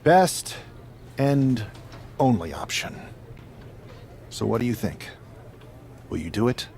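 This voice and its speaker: Male wrestler for game cutscenes: mid-pitched, lively intonation, earnest, wry persuader, faint noise behind. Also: takes it slowly, gravelly, exaggerated voice. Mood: wry, hopeful. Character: earnest male pro wrestler rallying a lifelong best friend. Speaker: video game voice actor. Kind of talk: cinematic game dialogue, casual.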